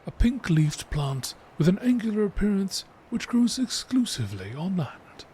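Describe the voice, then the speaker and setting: A man reading encyclopedia entries aloud in a measured, informative nature documentary style. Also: high voice, very animated, a little mellow, a little hiss or hum.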